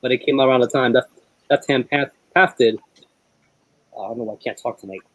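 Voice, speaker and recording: A man's voice: medium pitch, fast, dry recording. A man chatting casually with friends as a fellow video maker. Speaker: video maker in a group chat. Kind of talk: casual chat.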